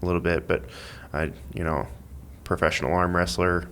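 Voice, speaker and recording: A man chatting casually as a podcast guest, in a halting, rambling way as he thinks aloud. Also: low-pitched, little pitch movement, a bit bright, slight background noise. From Missouri, United States. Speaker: podcast guest, amateur athlete and school IT worker. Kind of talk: casual interview chat.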